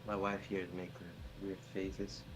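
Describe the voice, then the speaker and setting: A man's voice: completely flat delivery, moderate pace. A young man with a Greek accent talking in a trading voice chat, eager and stumbling over his words. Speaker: trader in voice chat. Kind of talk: casual chat. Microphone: voice chat mic. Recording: noisy rumbling voice chat line.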